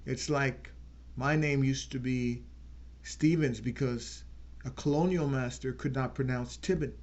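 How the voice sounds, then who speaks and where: A man hosting his own channel, giving an earnest unscripted political talk and slowing down to stress key words. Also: mid-range voice, fairly even intonation, darkish tone. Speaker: channel host.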